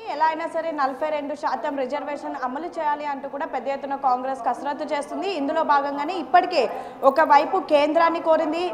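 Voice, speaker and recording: High-pitched woman reporter in a reverberant space. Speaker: news correspondent. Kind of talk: live news report, running unscripted explanation.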